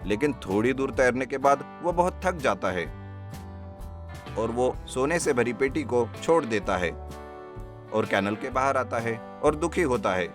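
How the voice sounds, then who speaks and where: A man narrates a scripted story for children. His voice sits at a middle pitch, and guitar music plays clearly underneath him. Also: expressive, a little slow. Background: plucked guitar music.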